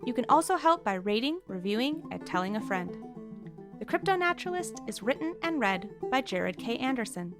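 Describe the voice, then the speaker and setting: A woman's voice: on the high side, very animated, a little fast. A woman reading scripted podcast credits as an announcer. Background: plucked string music.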